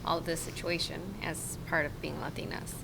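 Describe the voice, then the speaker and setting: A woman in her thirties, a podcast guest, speaking in a soft, hesitant way. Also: sits low, level tone, normal speed, creaky, background noise. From United States, raised in Mexico. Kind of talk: casual conversation.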